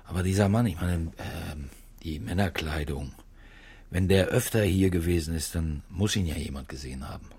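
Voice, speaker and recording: A man playing a low-key, persistent police detective boss in a naturalistic German radio crime drama, asking probing, slightly hesitant questions. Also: normal speed, darkish tone, breathy edge, exaggerated voice. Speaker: police chief inspector.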